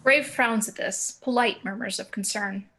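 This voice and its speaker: A woman with a mid-pitched voice narrates stage directions from a screenplay. She reads descriptively in short, deliberate phrases over a video call. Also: bright, forceful tone. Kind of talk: scripted screenplay narration, table read.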